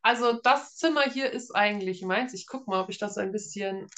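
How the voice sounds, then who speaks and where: A woman in her thirties from northeastern Germany, chatting casually as an author being interviewed and telling anecdotes in an animated way. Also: mid-range voice, moderate pace. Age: 30s. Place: room at home.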